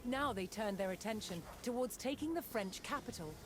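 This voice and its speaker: A woman reading scripted historical documentary narration in a measured, dramatic storytelling manner. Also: a little high, animated, noisy background. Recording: loud music under the voice. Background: loud background music.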